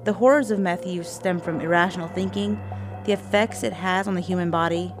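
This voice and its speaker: A woman reporter reading a scripted, measured voice-over narration. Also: lowish voice. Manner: measured, informative delivery. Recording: voice-over with music bed.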